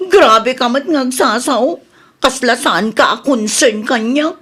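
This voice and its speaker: A woman's voice: sits high, slow pace, a bit bright, slightly rough, full character voice. A woman with an Ilocano Filipino accent acting as a restless, self-willed ex-partner in a radio drama. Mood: wounded.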